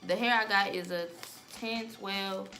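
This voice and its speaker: A woman's voice: mid-range voice, steady tone, unhurried. Casual young American woman over music. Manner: loose, slangy, rambling. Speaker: vlogger giving a wig-making tutorial. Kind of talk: casual chat to camera.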